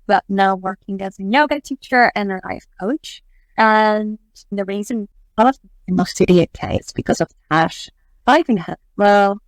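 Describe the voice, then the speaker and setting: Relaxed woman from the Netherlands. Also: medium pitch, dry recording, poor audio. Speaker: podcast guest. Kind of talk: casual interview chat.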